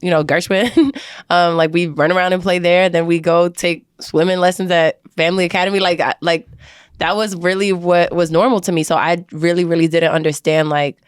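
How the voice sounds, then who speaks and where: A young woman from the Dominican Republic, a singer chatting as a podcast guest in a relaxed, talkative way. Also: fairly low pitch, a little fast, clear, resonant tone, no echo, clean studio sound. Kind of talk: casual interview chat.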